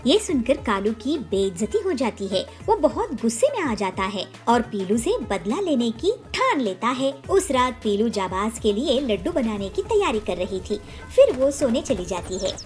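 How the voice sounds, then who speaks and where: High-pitched, animated woman storyteller. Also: moderate pace, hardly any pauses, faint music behind. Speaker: narrator. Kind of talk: scripted children's story narration.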